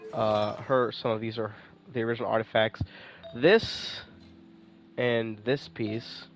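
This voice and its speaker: A young man giving informal, rambling commentary as he plays a video game. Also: medium pitch. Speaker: game streamer. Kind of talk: casual gameplay commentary.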